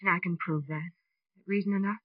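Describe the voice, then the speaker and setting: A woman's voice: fairly low pitch, steady tone, fairly quick, dry recording, natural voice. A woman playing a scheming, desperate divorcee in a 1940s radio drama. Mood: pleading, confessing. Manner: melodramatic, pleading. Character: scheming, desperate young divorcee, defiant blackmailer. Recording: dry, dull old radio recording.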